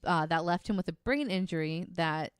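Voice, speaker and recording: Smooth, lower-pitched woman telling true-crime stories. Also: normal speed, dry recording, studio quality. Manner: amused, informal, sweary. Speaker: podcast co-host. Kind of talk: casual true-crime storytelling.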